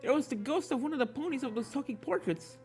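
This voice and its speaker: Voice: high voice, fast, darkish tone, faint music behind, voice pushed up, lightly acted. A narrator voicing a nervous, timid young pony filly in a fan fiction story reading, speaking politely and hesitantly. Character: Apple Bloom, nervous young filly ghost hunter.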